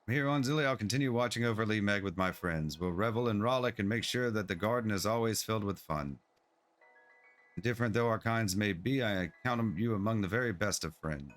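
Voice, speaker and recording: A man's voice: on the low side, fairly quick, a bit bright, faint music behind, natural voice. A man voicing a small, playful, carefree fairy pixie in a sing-song, gushing manner while reading game dialogue aloud. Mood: affectionate.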